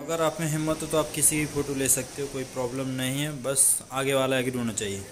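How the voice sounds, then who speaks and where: A man's voice: medium pitch, steady tone, quick pace, over music, low-quality recording. A young man in his twenties talking casually to viewers in a travel vlog, filmed on a phone held at arm's length outdoors on a beach. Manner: chatty, addresses viewers as friends. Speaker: vlogger. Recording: loud outdoor noise.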